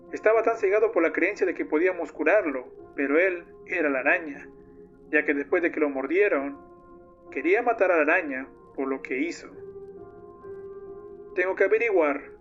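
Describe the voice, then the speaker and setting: A man voicing a grieving, vengeful young man who tells a horror story like a solemn diary. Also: a little high. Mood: grieving, bitter. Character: young man recounting his friend's monstrous downfall, grieving, vengeful. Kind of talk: scripted first-person horror narration. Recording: muffled lo-fi audio under loud music. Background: music.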